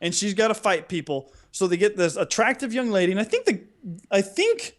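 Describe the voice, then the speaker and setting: A man with a notably high-pitched voice co-hosts a casual podcast. He rants comically, with an excitable, theatrical delivery that acts out reactions as he goes. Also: a little fast. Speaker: podcast co-host. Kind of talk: casual chat, comic ranting.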